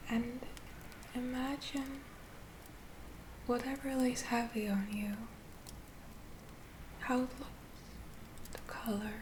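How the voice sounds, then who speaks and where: Woman playing a reassuring witch healer, ASMR roleplay, fairly high whispery voice, soothing, hesitant, gentle. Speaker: ASMR performer.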